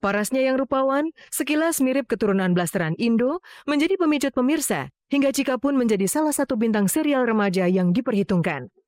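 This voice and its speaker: A woman reading formal scripted narration in a measured storytelling manner for an entertainment profile. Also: on the high side, lively intonation, darkish tone, no echo, clean studio sound.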